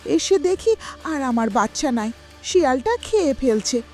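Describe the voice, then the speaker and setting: Woman doing a tiny heartbroken mama bird, really high swoopy pleading voice, cartoon story, music under it. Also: very animated, unhurried, dark tone. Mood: grieving, pleading. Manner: plaintive, pleading. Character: grieving mother tailorbird, small, pleading for justice. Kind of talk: cartoon moral story dialogue. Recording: music behind the voice.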